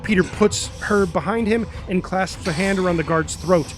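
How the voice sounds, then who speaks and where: A man's voice: sits high, moderate pace. A man reading dramatic, punchy scripted narration and stage directions for a superhero action story. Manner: punchy, present-tense delivery with sound-effect words. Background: music.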